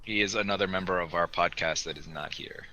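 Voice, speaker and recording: A man's voice: sits low, brisk, sharp tone, low-quality recording. A man hosting a casual hobby podcast, conversational and steering the discussion. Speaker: podcast host.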